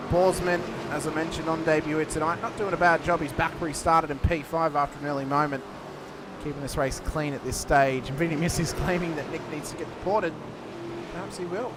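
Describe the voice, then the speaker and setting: A man calls a sim race live in a fairly high voice, cheeky and quick with a joke. Loud engine noise runs steadily beneath his words. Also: fairly even intonation. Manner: cheeky, joking, quick to laugh. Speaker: race commentator. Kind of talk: live sim racing commentary.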